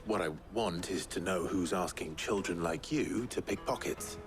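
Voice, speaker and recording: An actor playing a terse, earnest male adventurer in a fantasy video game, speaking in a measured way. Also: music in the background, close to an everyday voice. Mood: earnest, sombre. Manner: terse, low-key, measured. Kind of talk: dramatic video game acting.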